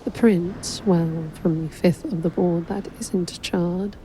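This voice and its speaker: A woman acting in an audio drama as an exacting, frank royal calligrapher with a measured, dry manner. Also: on the low side, dark tone, slight background noise, low-quality recording. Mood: resigned. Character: exacting, wry female royal calligrapher, deferential but frank.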